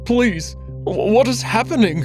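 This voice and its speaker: A man's voice: very high voice, lively intonation, unhurried, music in the background, voice pushed up. A man voicing a timid, frightened old wolf doctor in a visual novel, stammering and hesitant. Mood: panicked pleading.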